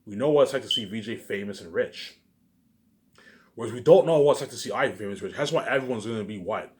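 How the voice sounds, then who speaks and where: A man delivering a rambling, profane, boastful monologue to online viewers, circling back and repeating his phrases, with sudden louder bursts. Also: fairly low pitch, quick pace. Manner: repetitive, restarting phrases over and over.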